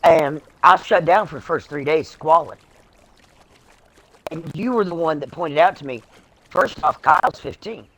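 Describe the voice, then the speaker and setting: A woman's voice: low-pitched, a little slow, long pauses, a bit bright. A middle-aged woman telling personal stories in a blunt, salty, casual way on a live stream, acting out what people said. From Ukraine. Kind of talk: casual chat, storytelling. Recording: music behind the voice.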